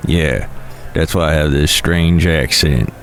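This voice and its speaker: A man's voice: extremely low pitch, slow, a little hiss or hum, pitched below the performer's own voice, heavily acted. A man voicing a grumpy, blunt male fiddle player with a grumbling, indifferent manner.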